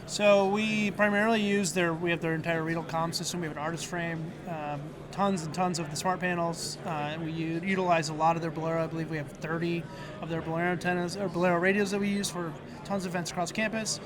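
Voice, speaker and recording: A man's voice: on the high side, level tone, fast. A man giving explanatory interview answers as a university video production staffer. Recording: busy crowd noise behind. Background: convention crowd chatter.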